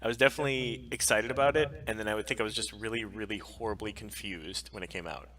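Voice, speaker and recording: A man's voice: on the low side, fairly even intonation, quick pace, fairly bright tone, rough recording. A man co-hosting a casual chat stream, giving opinions in an emphatic, rambling way. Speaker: co-host of a weekly pop culture stream.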